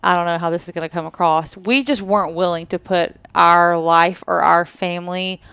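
A woman's voice: on the low side, steady tone, normal speed, a bit bright, clean tone, dry recording. A woman in her twenties talking candidly on a podcast at a trade expo, thinking aloud with hedges. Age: 20s. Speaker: podcast co-host, married to co-host. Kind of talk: casual podcast conversation.

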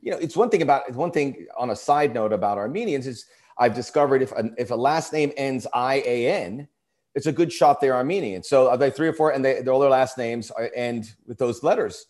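A man's voice: mid-range voice, lively intonation, a little fast, darkish tone. A man hosting an interview, asking long and rambling questions full of restarts. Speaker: interview host. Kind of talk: casual interview talk.

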